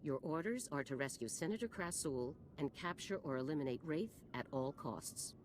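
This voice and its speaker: Stern female commander, low voice, animated emphasis, formal, authoritative, game briefing style. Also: moderate pace, a little hiss or hum. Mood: commanding. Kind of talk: video game character acting.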